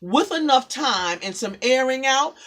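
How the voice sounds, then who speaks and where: A woman poet who talks softly and haltingly in a faith-centered chat, then reads her own poem in a rhythmic, declamatory spoken word style. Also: sits high, expressive. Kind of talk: spoken word poetry reading in a casual faith conversation.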